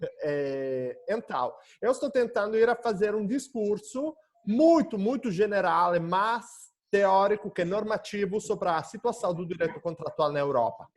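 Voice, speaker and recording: A young man with an Italian accent giving an academic lecture over a video call, often pausing and searching for words. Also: high-pitched, animated. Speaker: guest lecturer.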